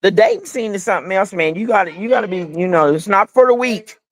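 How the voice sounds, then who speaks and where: A woman about to turn sixty chatting with friends, blunt and sassy with quick salty comebacks. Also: medium pitch, animated, no room sound. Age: 50s. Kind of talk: casual group chat.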